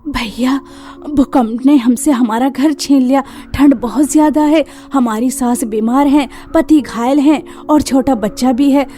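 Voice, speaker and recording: A woman voicing a poor young daughter-in-law in a cartoon story, gentle and earnest, with a worried pleading manner. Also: sits high, measured pace, hardly any pauses, faint music behind.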